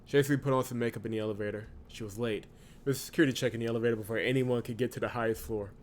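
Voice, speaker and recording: A man's voice: medium pitch, fairly even intonation, racing. A man hosting a visual novel playthrough, reading the narration aloud and reacting casually. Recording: loud game music behind voice. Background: game music.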